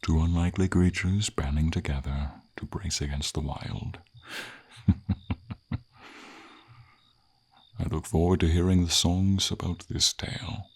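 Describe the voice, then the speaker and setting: A man playing a gentle, noble, melancholy silver dragon in an ASMR fantasy roleplay, speaking tenderly and formally in a breathy, gravelly voice. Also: fairly even intonation. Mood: warm, amused. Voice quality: husky, gravelly, breathy low voice. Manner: slow, tender, formal, hesitant phrasing.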